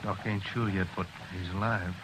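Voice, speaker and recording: An actor playing a drawling frontier jailer. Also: male voice, deep voice, steady tone, moderate pace, lightly acted. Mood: plain. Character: plain-spoken frontier jailer. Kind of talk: 1950s radio drama acting. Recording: noisy old radio recording.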